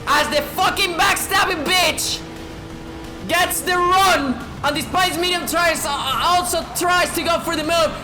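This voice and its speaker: Woman, high voice, sim race commentator, sore strained throat, swears, shouts, echoey room, music behind. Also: unhurried. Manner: swears a lot, shouts at big moments, drops to a low tone to save her voice. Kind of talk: excited sim racing commentary. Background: background music.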